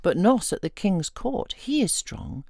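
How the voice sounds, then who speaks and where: Woman as a modest, animated strongman. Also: medium pitch, a little slow, no echo, clean studio sound. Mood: modest, admiring. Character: modest strong man hauling huge loads, admiring.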